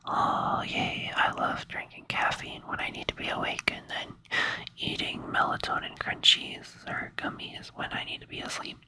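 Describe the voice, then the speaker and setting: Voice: normal speed, rough recording. Sleepy whispered ASMR rambling. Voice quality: whispered, breathy. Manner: rambling, hesitant, playful, soothing. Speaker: ASMR creator. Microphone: close ASMR microphone.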